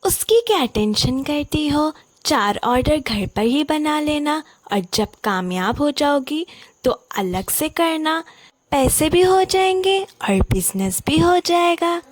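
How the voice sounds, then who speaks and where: A woman's voice: sits high, animated, takes it slowly, no echo, pitched above the performer's own voice. A woman voicing a cheerful neighbor woman who eagerly encourages her friend. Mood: eager coaxing.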